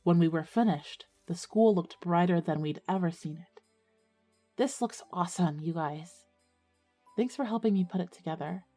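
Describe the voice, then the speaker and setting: Woman acting earnest teen schoolgirl telling her story, soft mellow voice, bit low, audio drama style. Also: moderate pace, soft background music, natural voice. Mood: sincere, hopeful. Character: earnest teenage schoolgirl Marjorie, narrating her ghost story. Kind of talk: scripted first-person narration and audio drama dialogue.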